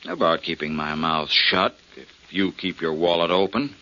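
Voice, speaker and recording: A shrewd, insinuating doctor in vintage radio crime drama. The voice is fairly low and forceful, with animated swings in pitch. The recording is dull and lo-fi, from the 1940s. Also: male voice. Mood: sly, insinuating. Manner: insinuating, deliberate bargaining. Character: shrewd doctor posing as a bargaining blackmailer.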